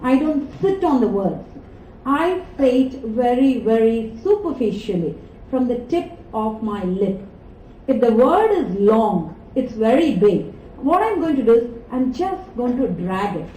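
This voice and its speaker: A woman with an Indian English accent teaches a class in an animated way and keeps asking the students questions. Her voice is high but mellow. She is heard from some distance in an echoey lecture hall, with a faint room hum behind her. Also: a little slow. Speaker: communication skills trainer.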